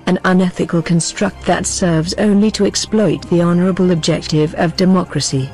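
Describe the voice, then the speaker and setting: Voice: medium pitch, a little mellow. Formal, solemn manifesto voice over music. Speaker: spokesperson for an activist group. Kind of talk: scripted political manifesto address.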